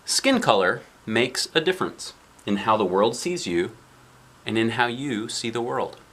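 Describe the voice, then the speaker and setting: A man's voice: mid-range voice, lively intonation, a bit bright, slight background noise. A man reading a picture book to children, with conversational asides and questions to the listener. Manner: asks the listener questions, pausing to explain pictures. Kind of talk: read-aloud storytelling with conversational asides.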